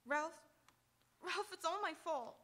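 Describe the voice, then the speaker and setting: Lady acting distraught dame in old radio murder play, high bright voice, pleading, melodramatic. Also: lively intonation, slow, exaggerated voice. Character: distraught, protective woman caught in a murder. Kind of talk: old-time radio crime drama acting. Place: auditorium stage, live radio theatre.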